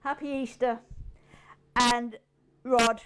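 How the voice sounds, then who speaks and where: A woman's voice: fairly high pitch, steady tone, slow pace, fairly bright tone, clear, resonant tone. An older woman with a Yorkshire accent, hosting a casual cooking demonstration in her home kitchen, chatting with rambling asides as she works. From England. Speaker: cooking show host.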